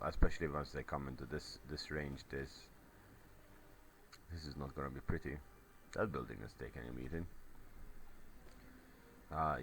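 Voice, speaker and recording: A man giving casual play-by-play commentary on a strategy game replay, his voice a little crackly, rambling with many fillers. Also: a bass voice.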